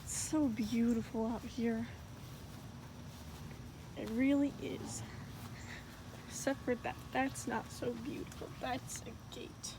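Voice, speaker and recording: A woman's voice: on the high side, fairly even intonation, takes it slowly, long pauses. A woman vlogging casually from her backyard and home, chatty and giggly, rambling as she goes. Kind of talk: casual vlog chat. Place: backyard outdoors, then indoors. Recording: noisy home video recording.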